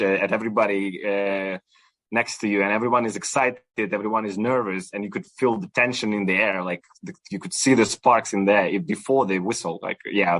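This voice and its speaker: Young man, lowish voice, dry close sound, chatty with restarts. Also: a bit bright, dry recording. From Ukraine. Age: young adult. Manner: chatty, many fillers and restarts. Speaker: supporters club guest. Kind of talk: casual podcast interview.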